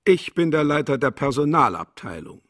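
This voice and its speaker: An actor in a radio play playing a pompous, cynical personnel chief who speaks in a grandiose, theatrical way. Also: male voice, a little high, sing-song, normal speed. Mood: brisk, bossy. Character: pompous, cynical male slaughterhouse personnel chief posing as philosopher. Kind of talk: German satirical radio play acting.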